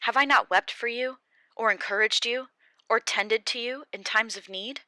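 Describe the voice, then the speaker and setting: A woman's voice: lowish voice, normal speed, pushed and bright, no room sound. A woman voicing Job, an anguished, defiant old man, in an impassioned, raised pleading delivery for an audiobook. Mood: defiant grief. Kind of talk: audiobook character reading.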